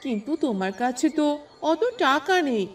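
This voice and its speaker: A woman's voice: high voice, very animated, measured pace. A woman voicing an affectionate, obliging young village wife in a cartoon. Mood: pleased, fond. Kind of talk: Bengali cartoon voice acting. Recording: slightly distant mic.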